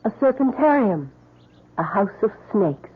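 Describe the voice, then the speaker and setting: An actress playing an earnest, genteel older millionairess and kindly philanthropist in an old-time radio drama, speaking theatrically. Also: female voice, mid-range voice, sing-song, measured pace, slightly rough. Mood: fond, proud. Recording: noisy, tinny old radio broadcast.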